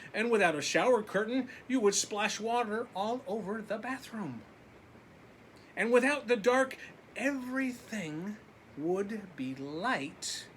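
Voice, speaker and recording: A man reads a picture book to young children in an animated, slow delivery with dramatic pauses. His voice swings widely in pitch, and faint noise runs behind it. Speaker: children's story reader, teacher. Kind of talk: expressive read-aloud for young children.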